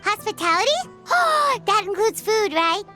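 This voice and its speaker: Game fairy sidekick: tiny, childlike, extremely high and bouncy, music behind. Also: female voice, big pitch swings, a little slow, exaggerated voice. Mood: excited, curious. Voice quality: squeaky, childlike. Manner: speaks of herself in third person, perky. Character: tiny excitable floating fairy companion girl, childlike, food-loving.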